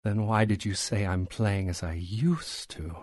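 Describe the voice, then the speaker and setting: An actor playing a haunted, fearful concert pianist in an old-time radio horror drama, anguished and melodramatic. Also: male voice, on the low side, measured pace, dry recording, studio quality, natural voice. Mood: suspicious, bitter. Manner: anguished, melodramatic, swinging from hushed to outbursts.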